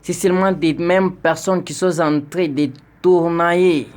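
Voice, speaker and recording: A man's voice: on the high side, fairly even intonation, measured pace, darkish tone. A young man from Congo speaking an earnest, pleading video message to his president, with stammered repetitions. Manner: earnest, pleading, rising into indignation with repeated stammered words. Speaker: citizen addressing the president.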